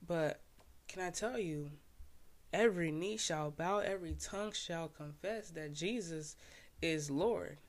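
A man's voice: on the high side, measured pace. A young man from Haiti, a podcast host and poet, casually explaining his own poem in laid-back slang full of "like" fillers.

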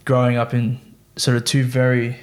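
A man's voice: mid-range voice, monotone, normal speed. A young man, a podcast guest, talking casually and rambling through fillers and restarts. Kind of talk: casual chat.

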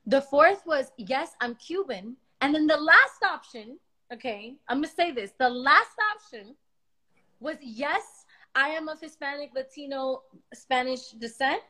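A woman from Miami telling a story in casual chat, animated and exasperated, swearing freely. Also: sits high, unhurried. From Miami, United States.

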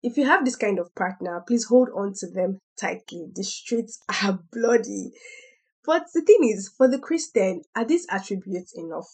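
A young woman hosting a faith podcast, talking in a chatty way with a hoarse voice and laughing between points. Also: medium pitch, animated, normal speed, a little mellow. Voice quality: husky. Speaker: podcast host. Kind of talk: faith-based casual podcast talk.

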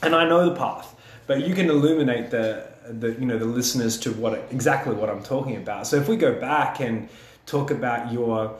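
Earnest podcast host, roomy sound. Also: male voice, medium pitch, brisk. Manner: earnest, encouraging, speaks in long building sentences. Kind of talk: reflective podcast conversation. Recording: roomy echo.

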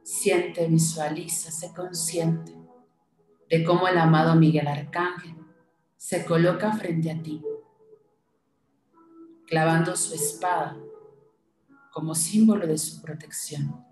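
A woman leading a guided meditation in a soothing manner, giving short instructions with pauses between them. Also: sits low, fairly even intonation, a little mellow, reverberant, poor audio. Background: music.

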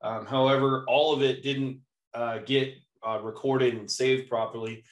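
A hoarse man delivers a casual Bible teaching, often clearing his throat. His tone is relaxed and chatty, and his voice carries a roomy echo. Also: medium pitch, unhurried, lo-fi sound. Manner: relaxed, chatty, with light laughs. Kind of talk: informal sermon teaching.

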